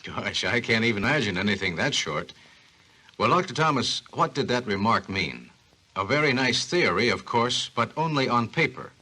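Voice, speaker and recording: Bright, eager old-time radio interviewer. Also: male voice, medium pitch, expressive, normal speed. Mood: curious. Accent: American. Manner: earnest, folksy questioning. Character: eager, curious male interviewer, easily impressed. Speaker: program host and announcer. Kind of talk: 1940s scripted radio interview. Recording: rough 1940s radio recording.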